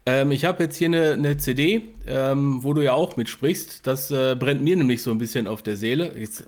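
A man's voice: mid-range voice, moderate pace, darkish tone. A man co-hosting a podcast, chatting casually as he interviews a guest. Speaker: podcast co-host.

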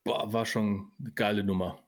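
A man's voice: lowish voice, flat delivery, moderate pace. A man co-hosting a podcast, talking casually in colloquial, slangy language. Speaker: podcast co-host. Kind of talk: casual chat about audio dramas and films.

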